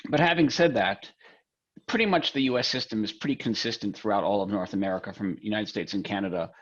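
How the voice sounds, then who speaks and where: Lawyer guest on a dull remote line. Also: male voice, lowish voice, quick pace, a bit bright. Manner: conversational, explanatory, with fillers. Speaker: guest attorney. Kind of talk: interview answer.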